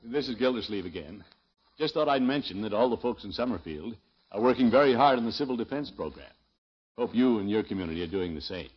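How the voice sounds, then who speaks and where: A man's voice: lowish voice, expressive, fast, lightly acted. A man playing a pompous, blustery middle-aged American small-towner in a radio comedy. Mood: sincere. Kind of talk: 1950s radio comedy acting. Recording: muffled vintage 1950s radio recording.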